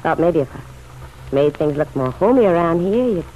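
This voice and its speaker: A woman's voice: deep voice, lively intonation, moderate pace. An actress playing an anxious, world-weary working-class woman in an old radio drama, with pleading, colloquial speech. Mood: hurt, hopeful. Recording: muffled, noisy old radio recording.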